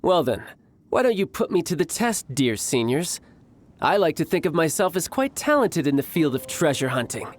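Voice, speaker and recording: A man voicing a cocky young fighter in a video game, with a playful, smooth, self-assured manner. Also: fairly high pitch, very animated, normal speed. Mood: playful, confident. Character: cocky, breezy young male fighter posing as salesman.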